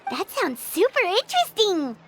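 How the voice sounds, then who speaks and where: A woman voicing a small, excitable, childlike fairy companion in a video game, with a squeaky voice. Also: normal speed, a little hiss or hum, low-quality recording. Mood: curious. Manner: speaks of self in third person. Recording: loud music behind.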